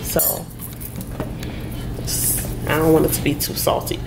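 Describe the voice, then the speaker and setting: A woman's voice: low voice, monotone, slow. A young woman vlogging in her home kitchen, chatting casually and listing ingredients as she cooks. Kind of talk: casual cooking vlog narration. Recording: home kitchen with clear cooking noise. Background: cooking sizzle and kitchen noise.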